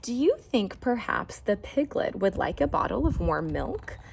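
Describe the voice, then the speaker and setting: A woman voicing a kindly, eager younger sister in a storybook, speaking gently. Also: medium pitch, sing-song, unhurried, gravelly, slight background noise, voice pushed up.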